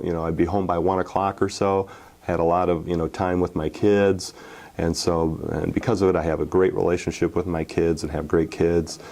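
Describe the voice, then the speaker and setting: Man, forties, deep low voice, loose chatty recollection, lots of "you know". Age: 40s. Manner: relaxed, many fillers and restarts, animated when telling game stories. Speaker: former football player, interview guest. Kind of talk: casual storytelling interview.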